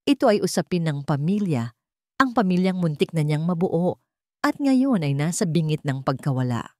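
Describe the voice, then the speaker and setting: A woman narrator reads a scripted drama in a slightly low voice. Her delivery is that of dramatic storytelling. The recording is dry and close, free of room echo. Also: very animated, normal speed, a little mellow.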